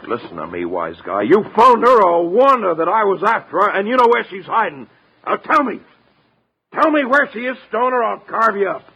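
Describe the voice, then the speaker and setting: A man's voice: high-pitched, sing-song, moderate pace, creaky, pushed and bright, heavily acted. An actor playing a menacing, unhinged young man in a 1950s radio drama. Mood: threatening rage. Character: menacing, unhinged young man hunting his former sweetheart. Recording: muffled, noisy 1950s radio recording.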